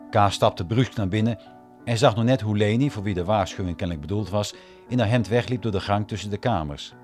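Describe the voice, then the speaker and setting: Vrij lage mannelijke hoorspelverteller. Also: male voice, lowish voice, a little mellow. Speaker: narrator. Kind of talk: scripted radio drama narration.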